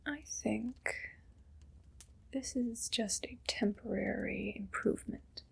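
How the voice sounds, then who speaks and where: A woman playing a haughty, condescending evil queen in a whispered ASMR roleplay, breathy and deliberate. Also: on the high side, fairly even intonation, heavily acted. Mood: smug, condescending. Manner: slow, deliberate, imperious and condescending.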